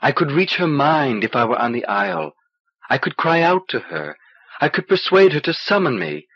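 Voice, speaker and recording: Man playing an earnest, husky young traveler. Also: mid-range voice, big pitch swings, normal speed, a bit bright, no echo. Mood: determined. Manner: insistent, questioning. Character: young male traveler, earnest, stubborn, questioning. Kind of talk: audiobook character dialogue. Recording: dull old cassette transfer.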